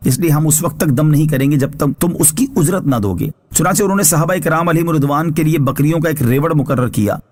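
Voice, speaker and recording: A man giving formal religious commentary as a scholar, reading prepared scripture translation and explanation in a measured, instructive way. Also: on the high side. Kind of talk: religious exegesis, read from a prepared text.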